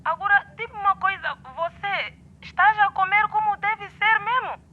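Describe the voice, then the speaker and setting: A woman's voice: very high-pitched, moderate pace. A woman playing a middle-aged Mozambican mother in a radio drama, fussing affectionately over her grown son through a telephone filter. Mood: fond, concerned. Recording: muffled phone call with faint noise.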